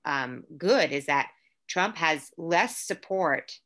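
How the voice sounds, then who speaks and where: Bright-voiced, low-pitched woman chatting. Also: expressive, slow. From Puerto Rico, raised in Orlando, Florida. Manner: chatty, lots of fillers and restarts. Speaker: guest, actor. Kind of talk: casual conversation.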